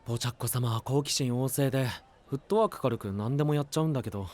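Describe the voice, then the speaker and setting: A man's voice: mid-range voice, a little mellow, natural voice. A man voicing a young male knight and diligent royal aide, boyish and exasperated, in an anime-style voice drama. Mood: fondly resigned. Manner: brisk, boyish, exasperated retorts.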